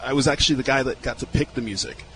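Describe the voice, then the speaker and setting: A man's voice: medium pitch, steady tone, rapid-fire, pushed and bright, slight background noise, poor audio. A male radio host chatting upbeat and casual in a live interview at an outdoor fairground. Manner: upbeat, chatty, repeats words.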